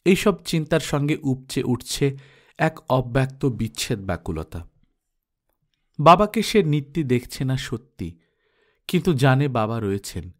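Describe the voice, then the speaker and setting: A man's voice: mid-range voice, big pitch swings, unhurried, pauses often. A man narrating a literary story as an audiobook reader, with dramatic scripted delivery.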